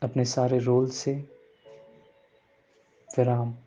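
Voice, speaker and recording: Male meditation guide, very slow measured delivery with long pauses, relaxed mellow tone, music bed. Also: medium pitch. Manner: repeats some phrases in English. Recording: dull lo-fi sound, music bed. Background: background music.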